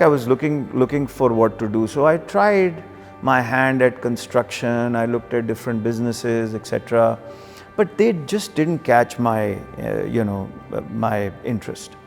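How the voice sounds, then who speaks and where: A man's voice: mid-range voice, gravelly, a little mellow, long reverb tail. A middle-aged man with a Pakistani accent, speaking conversationally as he reflects and tells his story in an interview. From Karachi, Pakistan. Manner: conversational, occasional Urdu phrases. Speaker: banker, interview guest. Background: background music.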